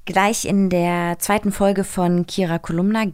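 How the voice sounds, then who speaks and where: A woman's voice: fairly low pitch, fairly even intonation, measured pace, a little mellow, smooth, no echo, clean studio sound. A woman co-hosting a podcast in casual, informal conversation. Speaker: podcast co-host. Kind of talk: casual chat, game moderation.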